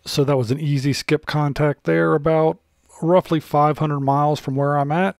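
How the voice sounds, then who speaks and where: A man's voice: on the high side, steady tone, normal speed, creaky, no room sound. American man narrating, dry close sound. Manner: matter-of-fact, unscripted narration. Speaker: product reviewer and presenter. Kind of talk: casual hands-on product demonstration.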